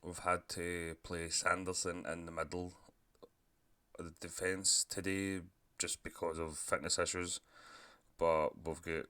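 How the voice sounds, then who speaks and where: A man with a sore throat, casually commentating as he plays a football management game and clearing his throat now and then. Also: sits low, measured pace. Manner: relaxed, chatty, clears throat often. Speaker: gaming YouTuber.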